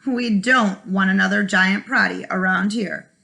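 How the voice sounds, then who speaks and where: A woman voicing excitable, fretful villagers in a children's storybook, questioning and complaining. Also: medium pitch, unhurried, gravelly, voice pushed down. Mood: pleading.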